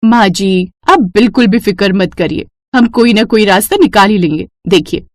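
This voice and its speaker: A woman's voice: medium pitch, moderate pace, darkish tone, no echo, clean studio sound, lightly acted. A woman voicing a resourceful young daughter-in-law in a cartoon story, eager, respectful and reassuring. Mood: concerned, reassuring.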